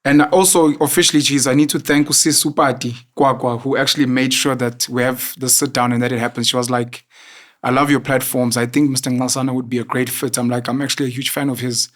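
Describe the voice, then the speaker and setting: A man's voice: mid-range voice, little pitch movement, quick pace. A man hosting an interview, talking in an effusive, chatty and admiring way. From South Africa. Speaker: host. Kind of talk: interview conversation.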